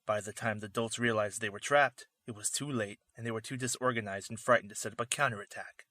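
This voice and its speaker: A man's voice: lowish voice, fairly even intonation, quick pace, bright, forceful tone. A man playing a sardonic wolf-man drifter and former warrior, narrating and acting casually in an audio drama. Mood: wry narration. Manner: wry, casual. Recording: music behind.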